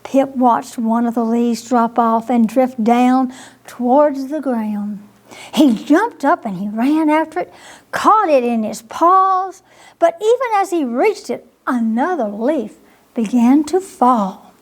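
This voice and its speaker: A woman narrates a children's bedtime story in a high voice. She takes her time and reads expressively, often turning to young listeners with a question. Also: takes it slowly. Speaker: storytime reader at a library.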